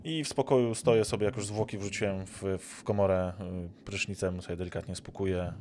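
A man playing a tabletop role-playing game, casually describing his actions with hesitant pauses. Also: on the low side, fairly even intonation, a little mellow, a little hiss or hum. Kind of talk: casual first-person game play.

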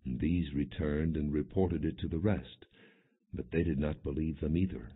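A man narrating scripture as an audiobook, reading in a solemn, measured, expressive manner. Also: very deep voice, slightly rough. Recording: dull, phone-like narrow-band audio.

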